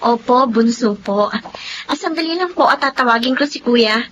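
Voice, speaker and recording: A woman's voice: on the high side, animated, normal speed, a bit bright, highs cut off, a little hiss or hum. An actress playing a polite, courteous young girl, the youngest sister, in a Filipino radio drama.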